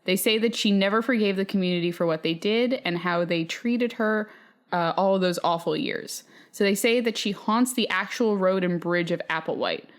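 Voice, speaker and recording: A woman's voice: medium pitch, moderate pace. A woman podcast host reading listener stories aloud in a casual, bantering way, with playfully exasperated asides.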